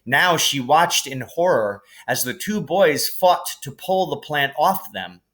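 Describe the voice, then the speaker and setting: A man reading a storybook aloud as scripted narration, in an expressive, dramatic manner. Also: a little high, takes it slowly.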